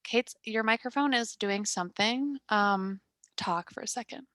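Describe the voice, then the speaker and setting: Woman, 20s, podcast host on a headset; calm, even, deadpan delivery, loose and rambling, dry close sound. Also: on the high side, a little slow, dry recording. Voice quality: calm, fairly monotone voice. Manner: rambling, filler-heavy, deadpan humor. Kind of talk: casual chat. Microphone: gaming headset.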